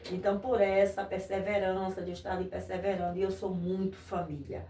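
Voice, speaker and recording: A woman from Brazil giving a faith testimony as a guest, halting and repeating her phrases. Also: mid-range voice, level tone, mellow. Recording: echoey room, voice a bit far from mic, faint noise.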